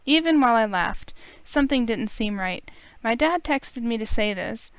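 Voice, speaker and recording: Female playthrough host narrating a visual novel: clear, smooth voice, close and dry. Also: a little high, expressive, normal speed, dry recording. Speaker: host reading a game playthrough. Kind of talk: expressive story narration read aloud.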